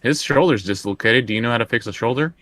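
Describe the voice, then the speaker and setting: A man's voice: medium pitch, fast talker, sharp tone. A man playing a tabletop roleplaying game, chatting casually and hesitantly as he decides his moves.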